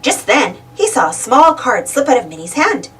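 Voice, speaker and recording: A woman reading a children's storybook aloud in an expressive, dramatic storytelling manner. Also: fairly high pitch, normal speed, recorded from a distance. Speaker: storybook reader. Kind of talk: animated children's read-aloud narration.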